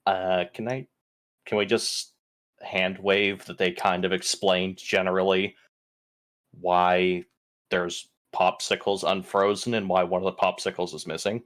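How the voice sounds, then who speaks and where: A man's voice: low voice, flat delivery, measured pace, a bit bright. A man playing a tabletop roleplaying game, chatting casually and reasoning through the situation with some swearing.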